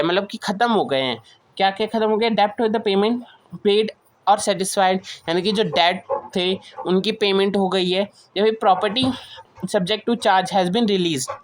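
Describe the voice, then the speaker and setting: A woman's voice: mid-range voice, a little hiss or hum. A woman with an Indian accent giving an explanatory lecture as a teacher, reading provisions aloud and then explaining them with repeated key points. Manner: switches between slow English reading and Hindi explanation, repeats key points. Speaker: teacher of company law.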